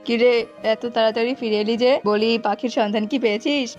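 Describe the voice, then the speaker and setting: A woman's voice: a little high, steady tone, fast talker, voice pushed down, lightly acted. Casting: woman to play a hungry, bullying male cartoon fox, commanding and taunting; muffled lo-fi track with music underneath. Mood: bossy. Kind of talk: children's animated folk-tale acting. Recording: dull lo-fi audio with music behind.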